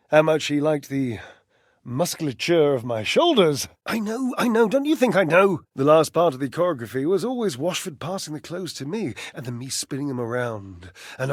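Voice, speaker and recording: A man's voice: high-pitched, a bit bright, no echo, clean studio sound, heavily acted. A man voicing a vain, sensitive clothes dryer who performs on stage, a theatrical video game character with dramatic sighs and flustered stammering. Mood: breathless, exultant. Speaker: voice actor.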